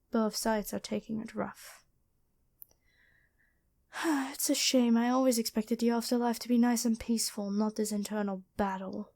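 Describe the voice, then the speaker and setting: A woman playing a gentle, dutiful angel medic in an ASMR roleplay, speaking soothingly and caringly to one listener. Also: a little high. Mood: gentle, matter-of-fact, then weary, sincere.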